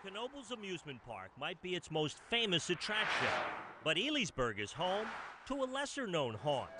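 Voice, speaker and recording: Male US reporter: higher-pitched, punchy, lively intonation, dramatic scripted news narration. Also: normal speed, heavy background noise. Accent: American.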